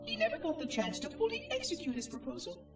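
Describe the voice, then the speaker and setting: A man's voice: very high voice, very animated, quick pace, heavily acted. A male actor playing a lonely, fretful ancient machine caretaker in a game cutscene, musing to itself in prim, formal, precise speech. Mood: musing, uneasy. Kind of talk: theatrical game cutscene monologue. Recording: echoey, distant, loud music behind. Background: loud music.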